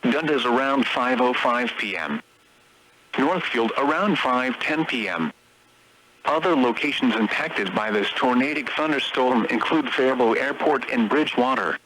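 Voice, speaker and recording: A synthetic male announcer with a medium-pitched, bright and forceful voice delivers automated emergency weather bulletins. The reading is formal and clipped, phrase by phrase. It comes over a weather radio broadcast with faint noise. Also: unhurried, rough recording.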